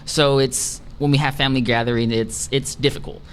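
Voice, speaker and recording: A man's voice: mid-range voice, fairly even intonation, a little fast. A young man on a student panel, speaking reflectively and with some hesitation. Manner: hesitant, reflective, many fillers. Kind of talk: casual panel discussion. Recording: faint background noise.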